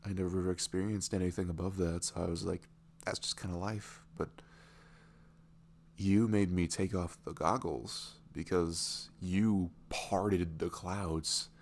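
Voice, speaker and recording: A man acts out a smitten young boyfriend in an intimate ASMR monologue. His voice is very low with a heavy fry at the edges. He muses in halting, vulnerable phrases that often soften to near-whispers. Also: fairly even intonation. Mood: tender, reflective. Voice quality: low, creaky voice, often dropping toward a whisper. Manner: halting, musing, frequent "you know" and soft chuckles. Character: smitten, vulnerable young neurodivergent boyfriend meeting online love. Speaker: ASMR audio roleplay performer.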